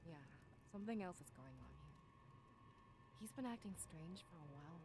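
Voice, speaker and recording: A woman's voice: on the low side, lively intonation, normal speed, a little mellow, a little hiss or hum, close to an everyday voice. An actress playing a level-headed, principled female archer in a video game, composed, earnest and firm. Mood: suspicious concern.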